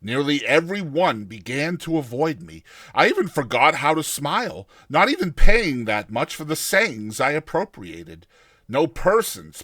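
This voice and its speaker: Theatrical male story narrator, swooping expressive pitch. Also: no room sound. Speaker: reader of a classic short story. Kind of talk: dramatized first-person audiobook narration.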